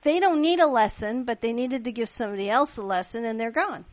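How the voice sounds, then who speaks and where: A woman's voice: on the high side, a little fast, dry recording, dull audio. A woman from Pakistan hosting a radio interview, chatty and affirming, with many small agreements. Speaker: radio show host.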